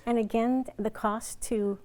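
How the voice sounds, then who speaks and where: A woman's voice: fairly high pitch, steady tone, unhurried, a little mellow. A woman hosting a community interview in a polite, conversational manner. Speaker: interview host.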